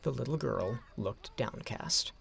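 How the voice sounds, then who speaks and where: A man narrating a scripted story in a dramatic, expressive storytelling manner. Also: medium pitch, unhurried, creaky.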